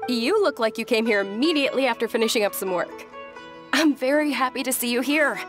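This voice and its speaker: A woman voicing a polite, gentle and earnest young noble lady in a video game. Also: a little high, lively intonation, brisk, music in the background, lightly acted. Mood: warm.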